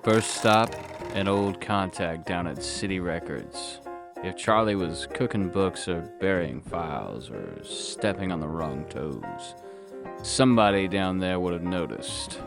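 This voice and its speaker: A man playing a hard-boiled, world-weary private detective, narrating a noir audio drama in a terse deadpan with a gravelly voice. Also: deep voice, unhurried, over music, full character voice. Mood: brooding.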